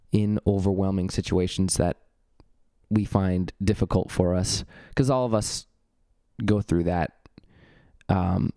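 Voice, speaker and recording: A young man with a low, smooth voice that a cold has left slightly gravelly talks through his own podcast. He rambles loosely, full of ums and false starts. The sound is close and dry on a studio mic. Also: warm tone, dry recording. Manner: rambling, many ums and restarts. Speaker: podcast host. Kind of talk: casual solo podcast talk. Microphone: studio vocal mic.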